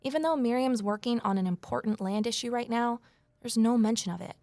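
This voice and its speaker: A woman's voice: on the high side, a little fast, a little mellow, no room sound, clean studio sound, lightly acted. An actress playing a wry, curious office worker who narrates her own story in an audio drama. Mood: wry narrating. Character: wry, curious female former journalist, new office assistant. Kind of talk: audio drama, first-person narration and dialogue.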